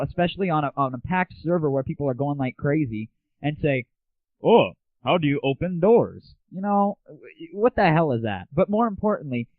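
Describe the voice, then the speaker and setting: A young man with a slightly high voice hosts a casual gaming radio show. He is slangy and irreverent, over very narrow, tinny, dry early internet audio. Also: dry recording. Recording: tinny, phone-like 1990s internet audio, roomless.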